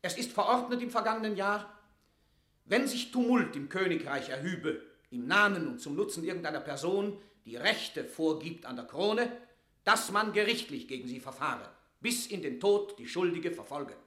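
A man's voice: high-pitched, lively intonation, normal speed, sharp tone, roomy sound. An actor playing a stern, relentless English statesman in a classical radio drama, accusing in severe, formal verse. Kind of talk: classical German stage verse radio acting.

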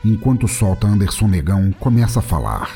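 A man with a low, deep voice narrates a scripted comic story. Music plays clearly behind him. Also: very animated, fairly quick, dark tone.

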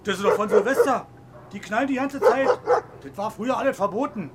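Man as a high-pitched grumbling dog walker. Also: brisk, lightly acted. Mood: indignant. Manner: complaining, agitated. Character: grumbling, indignant man out walking his dog. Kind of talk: radio drama street scene. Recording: loud outdoor noise, barking dog.

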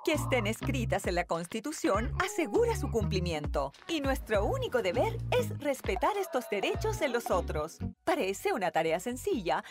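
A woman's voice: on the high side, huge pitch swings, normal speed, few pauses, music in the background. An actress playing a patient, helpful lady who explains things in an educational comic radio drama. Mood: patient, instructive. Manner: didactic, clear explaining. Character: patient, helpful, instructive lady correcting a foolish friend.

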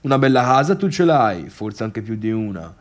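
An actor playing a chatty Tuscan villager with a strong local way of talking, grumbling and exclaiming through a theatrical monologue. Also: male voice, on the low side, very animated, normal speed. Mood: grumbling, offended. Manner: colloquial, grumbling, exclamatory storytelling. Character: chatty, gossipy Tuscan villager recounting a disastrous party. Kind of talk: theatrical comic monologue for radio drama.

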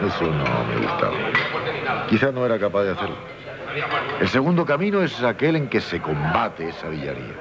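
An actor playing a dissolute, self-loathing gentleman drinker in a 1970s radio drama, with a hesitant, stammering delivery. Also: sits low, highs cut off. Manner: hesitant, stammering, broken by wry laughs. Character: dissolute, self-loathing drunkard gentleman confessing his passions. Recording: 1978 radio broadcast with loud music behind.

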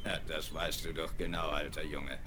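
An actor playing a small, pale, sly pirate in a radio play, with a sneering, taunting manner. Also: male voice, very deep voice, level tone, moderate pace, bright, forceful tone. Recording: hissy vinyl record.

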